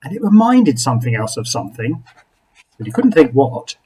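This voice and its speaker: A man, a rabbi, reading a picture book aloud to young children expressively, with dramatic pauses and small asides. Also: mid-range voice, sing-song, dark tone, breathy edge, rough recording.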